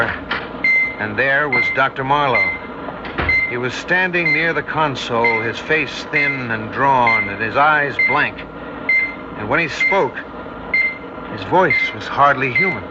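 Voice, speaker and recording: A man's voice: medium pitch, slow pace, pauses often, muffled recording, heavy background noise, close to an everyday voice. An actor playing a frightened man who narrates his story in an old radio drama. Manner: tense, shaken, first-person narration. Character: male narrator-hero, frightened radio engineer. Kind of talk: 1960s radio drama acting.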